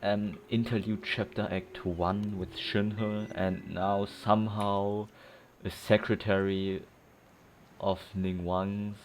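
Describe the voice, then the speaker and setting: A man's voice: on the low side, little pitch movement, slow, slight background noise. A man rambling casually over his gameplay, sighing, forgetful and full of fillers. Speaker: gameplay streamer.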